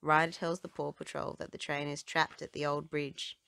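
A woman reading a children's storybook aloud with expressive, dramatic phrasing. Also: deep voice, normal speed, gravelly, no echo. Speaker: children's book reader.

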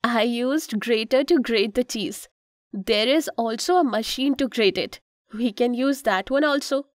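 A woman's voice: a little high, a little slow, dry recording, studio quality, lightly acted. A woman voicing a kind, instructive mother in a children's cartoon, with an Indian accent and a patient, explanatory manner. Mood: explaining. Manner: patient, explanatory, gently cautioning.

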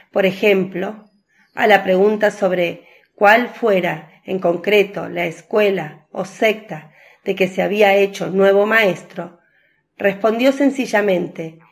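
A female narrator reads a scripted devotional story for radio. Her delivery is slow and composed, with plenty of vocal fry in a mid-pitched voice.

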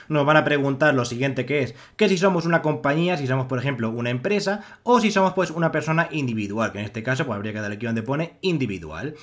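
A man's voice: medium pitch, a bit bright. A young man with an accent from Spain narrating a screen-recorded tutorial, rambling with many fillers and restarts. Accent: Spanish from Spain. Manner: rambling, heavy use of filler "pues" and restarts. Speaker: online money-making tutorial host.

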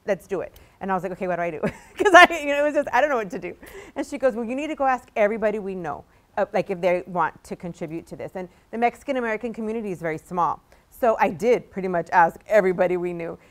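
Female panelist, anthology editor; mid-pitched voice, enthusiastic storyteller. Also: fast, a bit bright. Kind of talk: casual panel talk. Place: museum meeting room with a small audience.

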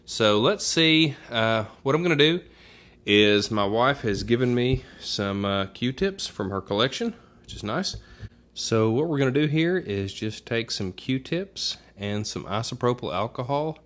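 A man's voice: medium pitch, lively intonation, a little slow, fairly bright tone. A man giving a casual how-to narration in an informal, folksy way, thinking aloud as he goes, recorded in a room at home. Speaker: DIY demonstrator.

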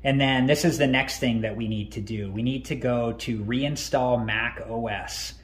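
Man calmly walking through a tutorial. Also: medium pitch, normal speed. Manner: relaxed, many ums and uhs. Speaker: tech tutorial host. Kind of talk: step-by-step screen walkthrough.

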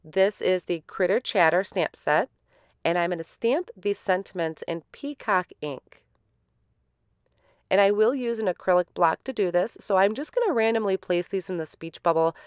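A woman hosting a card-making tutorial in a relaxed, step-by-step voice-over, a little stuffy from a head cold. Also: mid-range voice, moderate pace, clear, resonant tone, no room sound, clean studio sound. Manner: relaxed, step-by-step explaining with fillers.

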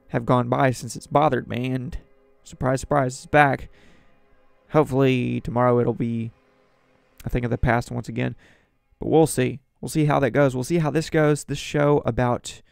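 Raspy-voiced man hosting a home podcast. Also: medium pitch, darkish tone, no room sound. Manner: chatty, wry asides. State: sore throat. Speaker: podcast host. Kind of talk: solo news commentary podcast. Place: small home studio with flags and sign. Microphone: studio mic on boom, headphones.

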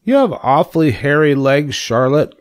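A man voicing an eager, boastful, naive young male pig in a storybook, excitable and earnest. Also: on the high side, moderate pace, voice pushed up, close to an everyday voice. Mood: amazed, curious. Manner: excitable, wide-eyed, earnest. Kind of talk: storybook character reading.

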